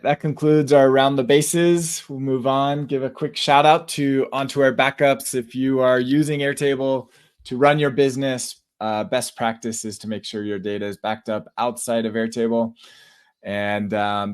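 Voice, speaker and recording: A man hosting a tech podcast, giving upbeat shout-outs in a relaxed, casual way with fillers. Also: medium pitch, clean tone. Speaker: podcast host.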